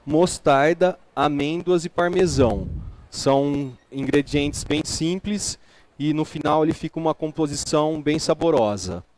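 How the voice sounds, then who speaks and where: A man's voice: a little high, a little mellow, no room sound. A man, a chef giving a cooking demonstration, explaining step by step and checking in with the audience. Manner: explanatory, with tag questions.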